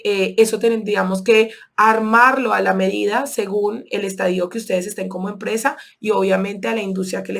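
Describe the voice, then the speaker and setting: A woman from Colombia with a Colombian accent, teaching an online sales course and walking through examples step by step with frequent fillers. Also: medium pitch. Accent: Colombian Spanish. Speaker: sales instructor.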